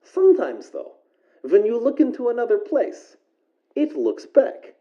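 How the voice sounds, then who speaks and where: Muffled, mellow woman narrating, swooping pitch. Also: huge pitch swings, a little slow. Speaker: host introducing a horror story podcast. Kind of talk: scripted eerie narration. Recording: muffled lo-fi radio transmission.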